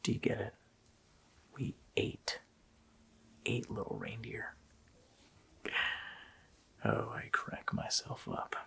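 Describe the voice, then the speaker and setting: A man voicing a sadistic, joking Christmas demon in a gravelly, whispered ASMR roleplay, with a slow, mockingly playful menace. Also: fairly low pitch, wildly swooping pitch, a bit bright, heavily acted. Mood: smugly amused. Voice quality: gravelly whisper with creaky growl.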